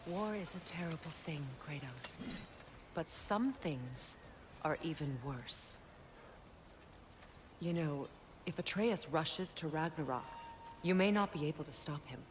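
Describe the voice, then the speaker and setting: An actress playing a proud, wounded, mature warrior goddess in a video game, speaking in a measured, earnest and dignified way. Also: female voice, sits low, lively intonation, background noise. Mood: grave. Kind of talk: video game cinematic dialogue. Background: music.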